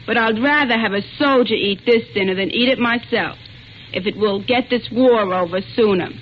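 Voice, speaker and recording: A woman's voice: fairly high pitch, normal speed, dull audio. Earnest young woman, noisy old radio recording. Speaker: speaker in a wartime radio food appeal. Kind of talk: 1940s scripted radio testimonial.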